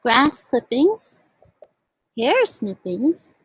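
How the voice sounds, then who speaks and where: Slow, high-voiced woman hosting children's storytime. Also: very animated, husky. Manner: simple, child-directed explaining with questions. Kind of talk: show-and-tell and read-aloud for young children.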